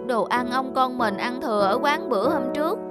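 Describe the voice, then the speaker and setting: A humble young manservant, voiced by a woman, with a high voice. He speaks earnestly and respectfully, a little anxious, in cartoon folk-tale dialogue over music. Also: lively intonation, normal speed. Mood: apologetic. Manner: deferential, earnest. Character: humble, anxious, dutiful young male servant.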